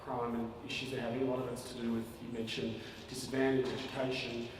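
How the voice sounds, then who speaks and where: A man's voice: mid-range voice, level tone, quick pace. Man asking hesitantly from a hall. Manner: hesitant, thinking aloud. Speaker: audience member asking a question. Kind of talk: audience question at a public talk. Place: large lecture hall. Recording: distant echoey hall with noise.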